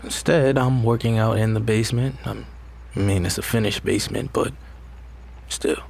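An actor playing an ordinary, rattled and disbelieving husband in an audio drama, with a hesitant, conversational delivery. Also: male voice, on the low side, normal speed, gravelly, a little mellow. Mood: wry, cautious. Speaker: actor in a fiction podcast. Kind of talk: naturalistic audio drama acting.

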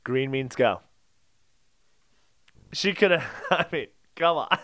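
Man, podcast co-host, high voice, bright edge, insistent, mock-exasperated comedic banter. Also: animated, takes it slowly. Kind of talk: comedic banter, song analysis.

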